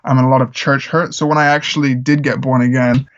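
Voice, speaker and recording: Man, musician guest, from the Czech Republic, earnest, rambling, many fillers, mid pitch, slightly dull lo-fi audio. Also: little pitch movement, fast talker. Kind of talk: casual interview talk.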